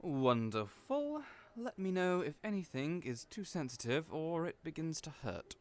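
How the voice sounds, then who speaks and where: A man's voice: fairly high pitch, big pitch swings, measured pace, pitched above the performer's own voice. A man voicing a flamboyant, fussy male hairstylist who teases and mothers his clients in an audio roleplay. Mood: teasing.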